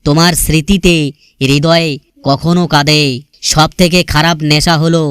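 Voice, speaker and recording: A man with a smooth, resonant voice pitched a little high recites sentimental love sayings. His manner is earnest and melancholy, with a poetic, scripted feel. The recording is close and dry, free of room sound. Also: flat delivery, moderate pace, fairly bright tone, no echo.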